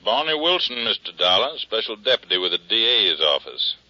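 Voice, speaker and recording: A folksy American lawman from a 1950s radio play. His voice is very bright and hard-edged, on the low side, with clipped, businesslike phrasing. The recording is muffled. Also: very animated, brisk, no echo, heavily acted. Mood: brisk, dry. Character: brisk, folksy male deputy from the prosecutor's office. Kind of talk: 1950s radio drama acting.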